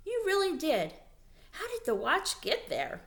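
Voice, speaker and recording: A woman's voice: sits high, wildly swooping pitch, measured pace, voice pushed up, exaggerated voice. A woman voicing an earnest, doubtful young boy at camp in a storybook reading. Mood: wondering. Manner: earnest, protesting.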